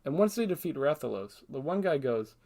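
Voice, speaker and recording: A man's voice: a little high, very animated, a little fast, gravelly, warm tone. A man giving casual, opinionated scripted commentary as a video essayist, with a sarcastic streak. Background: background music.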